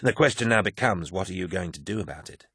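A man's voice: deep voice, expressive, creaky, fairly bright tone, breathy edge, no echo, studio quality, heavily acted. A man doing a bitter, defiant poet. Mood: calm. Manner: sardonic and defiant, deliberate delivery. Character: defiant, bitter male poet, nervous but sharp-tongued.

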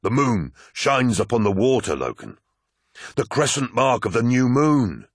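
Man acting an earnest warrior with a husky voice. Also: mid-range voice, very animated, unhurried, no room sound, studio quality, voice pushed up, full character voice. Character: earnest male warrior, appealing to his brother.

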